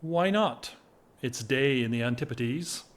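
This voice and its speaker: A man acting a whimsical, restless gentleman in an audio drama, with theatrical, wordy, teasing formality. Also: medium pitch, lively intonation, unhurried, close to an everyday voice. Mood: playful, whimsical. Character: whimsical, restless English gentleman, an aristocrat in love.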